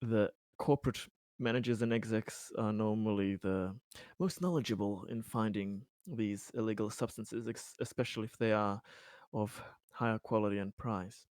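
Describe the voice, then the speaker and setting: A man playing a tabletop roleplaying game, improvising his character's actions in a halting way, searching for words. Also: fairly low pitch, darkish tone, no room sound, studio quality.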